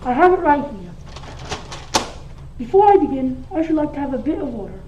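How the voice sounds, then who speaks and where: A teenage girl playing a formal, measured lawyer reading a will in an amateur school radio play. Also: high voice, very animated. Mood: formal, composed. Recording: roomy, distant, dull, faint noise.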